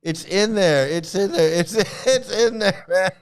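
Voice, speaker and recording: A man's voice: high-pitched, a little slow, clear, resonant tone. A man hosting a casual reaction video, laughing often and repeating himself as he talks. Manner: laughs often, repeats phrases, stammering restarts.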